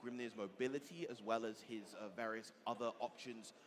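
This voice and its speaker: A man's voice: fairly low pitch, fairly quick. A man giving live fighting game commentary and analysis, articulate and emphatic, with rather formal phrasing. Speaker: esports tournament commentator. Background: clear background music.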